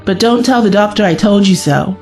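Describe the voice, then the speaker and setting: A woman's voice: medium pitch, fairly quick, a little mellow, close to an everyday voice. A woman playing a kind, reassuring young time-travelling companion in an audio drama. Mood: confiding. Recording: music under the voice.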